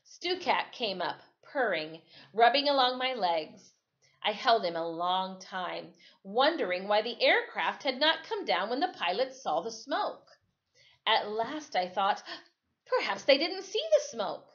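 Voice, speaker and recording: A woman reading a story aloud in an expressive, dramatic storytelling way, like a teacher. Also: medium pitch, measured pace. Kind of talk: read-aloud storytelling with casual asides. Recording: dull, lo-fi sound.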